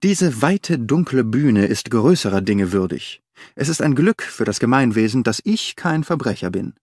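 A man's voice: mid-range voice, sing-song, normal speed, a little mellow, no room sound, clean studio sound. A man voicing a restless, impatient middle-aged detective in an audiobook, speaking in a sardonic, declaiming manner. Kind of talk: audiobook character voice.

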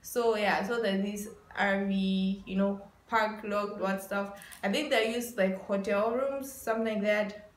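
Female vlogger, twenties, chatty and casual, mid-pitched, roomy indoor camera sound. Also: steady tone, a little slow. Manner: chatty, repetitive emphasis with frequent "like". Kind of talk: casual vlog narration. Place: small indoor room with bare walls. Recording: roomy echo.

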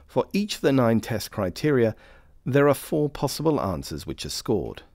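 A man reading scripted instructional narration for a training film in a measured, formal, carefully enunciated way. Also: lowish voice, darkish tone, no echo, clean studio sound.

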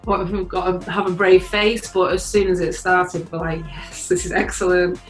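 A woman's voice: on the low side, steady tone, normal speed. A woman, a guitarist chatting casually on a video call, full of fillers. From England. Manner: chatty, many fillers, rising on openings. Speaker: guitarist in a band, interviewee. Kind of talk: casual interview chat. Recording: echoey room with faint music.

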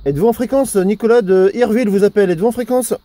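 A man making halting, formulaic calls as a radio operator, repeating himself in a procedural style. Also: high-pitched, mellow, dry recording. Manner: repetitive, halting, formulaic announcing. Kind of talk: CB radio calling, formal procedural hailing.

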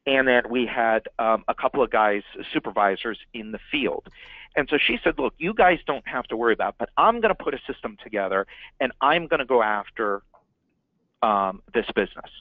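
A man teaching business owners about marketing, leaning hard on key words and repeating them for emphasis. His voice sits at an ordinary middle pitch. The sound is thin and phone-like, with a dry, close feel and no room echo. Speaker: marketing consultant. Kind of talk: business training talk.

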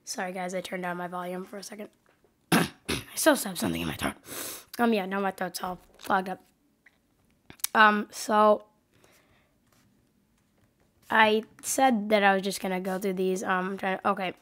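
A woman's voice: medium pitch, normal speed, pauses often, fairly bright tone. A young woman with a cold hosting a casual coding tutorial from her desk, hesitant and full of ums and self-corrections. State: has a cold, sniffling. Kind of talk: casual screen-recorded tutorial.

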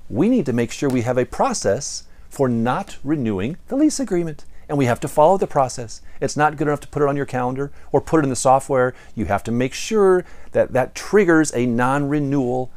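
A man's voice: mid-range voice, animated, fairly quick, few pauses, darkish tone. An American man in his fifties presenting a playful storytelling lesson with theatrical, emphatic delivery. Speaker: presenter of property management lessons. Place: indoor studio in front of a backdrop. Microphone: lapel mic.

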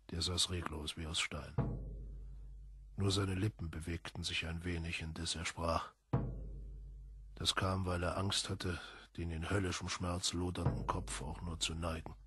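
A man narrating a literary audiobook in a husky voice, with solemn, measured, dramatic emphasis. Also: sits low.

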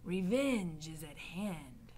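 A woman voicing a suave, sly, vengeful male rat villain in a breathy whisper, reading a story. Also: a little high, wildly swooping pitch, a little slow, a little hiss or hum, voice pushed up. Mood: menacing, gloating.

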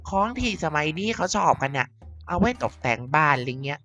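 A woman's voice: deep voice, animated, brisk, a bit bright. A woman doing a creepy cheerful homeowner. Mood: offhand. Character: creepy cheerful homeowner who collects heads. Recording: slightly dull with faint music.

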